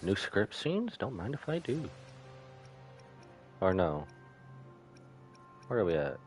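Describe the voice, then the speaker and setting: A man's voice: lowish voice, very animated, takes it slowly, darkish tone, soft background music. A man giving casual live commentary as a game streamer, with slangy, offhand reactions.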